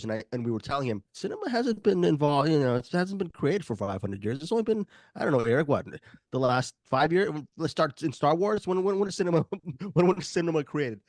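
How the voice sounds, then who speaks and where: A man with a cold, his voice raspy and hoarse, hosts a movie podcast from a video call. He talks quickly and jokes around, laughing often and looping through rambling questions. The sound is dry and close, with faint music behind him. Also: mid-range voice, no room sound. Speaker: podcast host. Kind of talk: casual chat over a video call.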